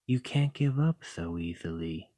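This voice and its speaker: A man voicing a doting, teasing male dragon lover in an ASMR roleplay, talking softly and coaxingly. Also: deep voice, lively intonation, measured pace, dry recording. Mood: tender. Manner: soft, playful and coaxing.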